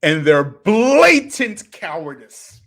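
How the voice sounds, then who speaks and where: A man hosting a reaction livestream, preachy and animated, swinging between loud and hushed delivery. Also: sits high, slow. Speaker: livestream host.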